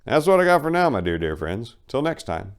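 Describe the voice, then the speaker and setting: A man's voice: medium pitch, very animated, brisk, smooth. A man reading aloud in a wry, conversational storytelling manner, like a casual dramatic audiobook host.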